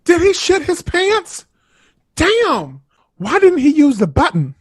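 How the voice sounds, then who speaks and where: A man's voice: very high voice, huge pitch swings, takes it slowly, no room sound, clean studio sound, full character voice. A man playing an irreverent young nursing-home worker in an audio drama, joking in casual slang. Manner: slangy, playful bantering. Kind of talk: naturalistic audio drama acting.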